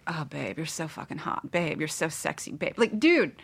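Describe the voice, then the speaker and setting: A woman's voice: low-pitched, animated, normal speed, creaky, slightly rough. A woman talking casually and bawdily as a talk show guest, telling stories in a blunt, profane way. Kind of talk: bawdy casual chat.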